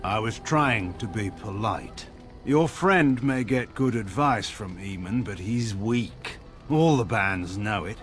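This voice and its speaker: Stern, weary general, swooping intonation. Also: male voice, fairly low pitch, lively intonation, soft background music. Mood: scornful. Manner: grave, measured, formal, dryly sardonic. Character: proud, weary, stern middle-aged general and father. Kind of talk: dramatic fantasy game dialogue.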